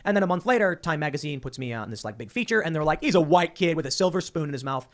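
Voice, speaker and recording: A man hosting a casual talk show, talking quickly and animatedly and often repeating words as he starts a sentence. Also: on the high side, animated. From Chicago. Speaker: podcast host.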